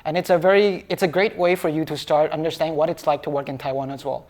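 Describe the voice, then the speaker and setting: A young man on a student panel, explaining in an earnest, measured way. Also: a little high, steady tone, darkish tone. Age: young adult. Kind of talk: casual panel chat.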